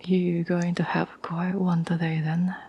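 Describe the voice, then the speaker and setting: A woman playing a caring, patient girlfriend in an ASMR roleplay, speaking in a soft, breathy whisper with a gentle, reassuring manner. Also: fairly low pitch, flat delivery, muffled recording, a little hiss or hum. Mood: concerned, coaxing. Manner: gentle, slow, soothing, reassuring.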